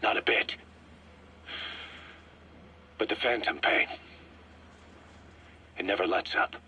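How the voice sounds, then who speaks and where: An actor playing an embittered, vengeful military commander in a video game, speaking in a clipped, stern, forceful way. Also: male voice, sits low, takes it slowly, husky, muffled recording, heavily acted. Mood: bitter, resolute. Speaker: military commander briefing his boss. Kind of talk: acted radio-style briefing in a video game. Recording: band-limited radio tape, faint noise.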